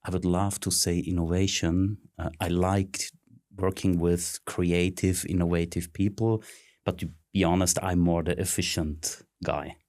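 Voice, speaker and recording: A middle-aged man from Switzerland, answering interview questions in a halting way with many ums and uhs. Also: low-pitched, level tone, darkish tone. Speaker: interview guest, search consultant. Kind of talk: conversational interview.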